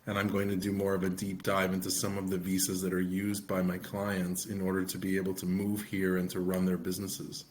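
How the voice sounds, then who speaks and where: Male co-host, middle-aged lawyer, steady and explanatory, flat low delivery. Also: fairly quick, few pauses, a little hiss or hum. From United States. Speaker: immigration attorney and podcast co-host. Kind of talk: podcast conversation.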